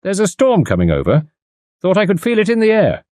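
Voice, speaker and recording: A man's voice: sits high, huge pitch swings, fairly quick, warm tone, no room sound, studio quality, pitched above the performer's own voice. A man voicing a plain-spoken rural garage mechanic who talks in a vague, folksy way.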